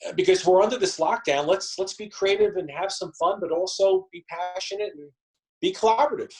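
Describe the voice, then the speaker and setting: A man talking casually as an interview guest, halting and often repeating his words and phrases. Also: high-pitched, a little mellow, rough recording. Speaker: interview guest, theater company co-founder.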